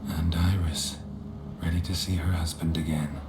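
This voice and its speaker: An actor playing a gruff, stoic monster hunter in a video game, speaking in terse, flat, gravelly lines. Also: male voice, low voice, moderate pace, natural voice. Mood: grave, resolute. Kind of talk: video game dramatic acting. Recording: roomy echo, noisy game ambience.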